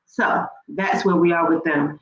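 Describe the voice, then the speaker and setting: Host: woman, stuffy nose, lower voice, chatty recap delivery, echoey lo-fi room. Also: steady tone, a little slow. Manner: chatty, rambling with fillers and restarts. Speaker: YouTube reaction host. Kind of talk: casual reality TV recap. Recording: echoey room, dull lo-fi sound, faint noise.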